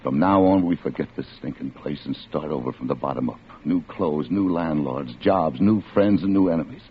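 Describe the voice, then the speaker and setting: A man's voice: extremely low pitch, moderate pace, slight background noise. An actor playing a restless, hard-boiled crook and husband who tells his own story in a vintage radio drama, brusque and world-weary. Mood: urgent, defiant. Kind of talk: 1940s-50s radio drama acting and first-person narration. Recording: muffled old radio recording.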